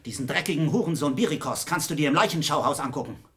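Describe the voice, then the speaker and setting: Agitated gunman, fast and high-pitched. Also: expressive, noisy background. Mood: menacing. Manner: barking threats, foul-mouthed. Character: menacing male gigolo turned gunman, crude, agitated. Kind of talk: crime radio drama acting.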